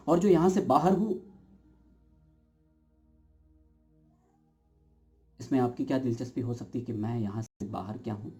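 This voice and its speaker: Dark-voiced, brooding theatrical everyman played by a man. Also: medium pitch, close to an everyday voice. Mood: emphatic, questioning. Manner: deliberate, philosophical, dramatic emphasis. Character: brooding, uncertain everyman narrator of a play. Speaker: actor performing an audition monologue. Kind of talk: theatrical stage monologue addressed to the audience.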